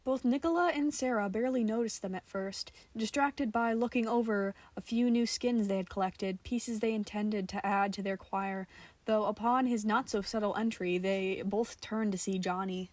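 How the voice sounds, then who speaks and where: A woman's voice: medium pitch, normal speed, a little mellow, dry recording. A woman narrating a scripted story as an amateur podfic reader.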